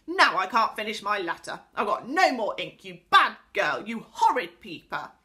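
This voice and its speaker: A woman voicing an angry little pixie in a children's storybook, yelling and scolding indignantly. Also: high voice, big pitch swings, normal speed, voice pushed up. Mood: raging. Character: angry pixie, bad-tempered little tree dweller.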